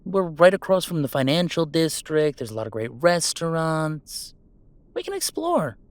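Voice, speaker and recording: A man's voice: fairly high pitch, sing-song, normal speed, no room sound. A man playing a chatty, affectionate, well-off gamer in a roleplay audio drama, talking casually and reassuringly in a rambling, wry way. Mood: upbeat, affectionate. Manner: casual, rambling, self-interrupting, wryly reassuring. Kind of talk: intimate roleplay audio acting, one-sided conversation.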